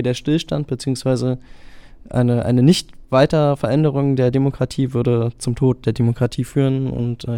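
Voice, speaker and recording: A man gives an earnest statement, searching for words and repeating phrases as he thinks aloud. His voice is warm and mellow with a smooth, clean tone. The sound is dry and close. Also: medium pitch, no echo. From Germany. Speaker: interviewee. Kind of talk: vox pop statement.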